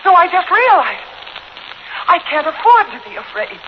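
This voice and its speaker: Casting: cunning, embattled housewife in 1940s radio thriller; high-pitched, dramatic, slightly noisy recording. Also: female voice, big pitch swings, normal speed. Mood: defiant. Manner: dramatic, pointed, sarcastic. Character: defiant, frightened young mother held hostage, cunning. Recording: dull 1940s radio recording, faint noise.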